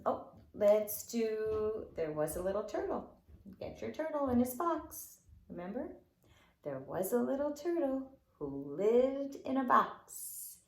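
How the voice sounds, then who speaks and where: A woman nursery school teacher leading children's circle time with rhymes and finger plays in a playful, encouraging, sing-song way. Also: fairly high pitch. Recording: roomy, echoing indoor sound.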